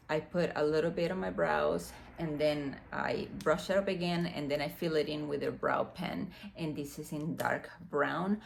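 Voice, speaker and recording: Gravelly Dominican woman in her forties. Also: lowish voice. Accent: Dominican Spanish. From Dominican Republic. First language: Spanish. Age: 40s. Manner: chatty, hesitant with many fillers. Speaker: beauty vlogger. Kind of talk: casual unboxing chat. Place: room next to a window, street noise outside. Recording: faint outside noise from the window.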